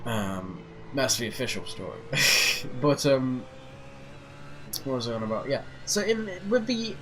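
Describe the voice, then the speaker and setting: A man's voice: medium pitch, animated, unhurried, sharp tone, low-quality recording. A man rambling hesitantly with lots of fillers as he drives a racing sim, his nose stuffy from a cold. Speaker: sim racing channel host. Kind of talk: casual rambling commentary while driving a racing sim. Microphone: off-center desk mic. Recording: clear sim engine noise behind. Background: racing game engine noise.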